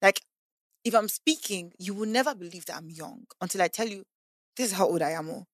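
A woman's voice: mid-range voice, a little fast, dry recording, clean studio sound. A woman, chatting as a podcast guest and acting out the voices of people she quotes. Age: 20s. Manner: animated, acts out quoted voices. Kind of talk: casual conversation.

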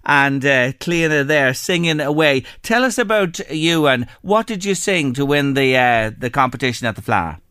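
A man's voice: medium pitch, expressive, moderate pace, hardly any pauses, pushed and bright, smooth, no room sound, studio quality. A man hosting a radio phone interview, praising his guests effusively. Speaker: radio host.

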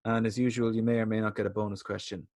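A man's voice: lowish voice, little pitch movement, quick pace, darkish tone, no room sound, clean studio sound. A man hosting a casual quiz in chat, playful and teasing. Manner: playful and teasing, laughing often. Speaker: quiz host.

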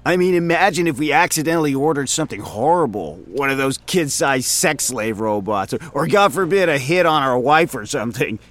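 A man's voice: mid-range voice, lively intonation, a little fast, hardly any pauses, a bit bright, voice pushed up, close to an everyday voice. A man voicing a chatty, oblivious male office coworker who rambles on with chuckles. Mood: rambling. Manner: rambling, chuckling, talks over others.

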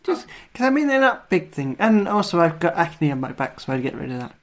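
A man's voice: on the high side, very animated, brisk. A young man with a nasal voice, chatting casually as a podcast co-host, self-deprecating and chuckling often. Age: young adult. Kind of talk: casual chat.

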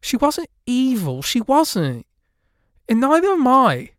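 A man voicing a sharp-tongued, defensive ghost girl in a high voice. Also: huge pitch swings, slow pace, dry recording, exaggerated voice. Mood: pleading. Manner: high, pleading then snapping.

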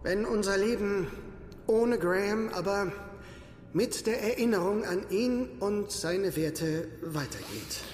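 An actor playing a flustered, evasive village priest in a radio play, with a solemn and hesitant manner. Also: male voice, high-pitched, animated, slow pace, a little mellow. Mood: solemn eulogy. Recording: music behind the voice.

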